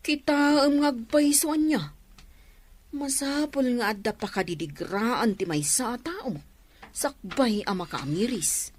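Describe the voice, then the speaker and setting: An actress playing a frank, caring young friend who comforts and scolds expressively in a radio drama. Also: female voice, a little high, lively intonation, takes it slowly. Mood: consoling.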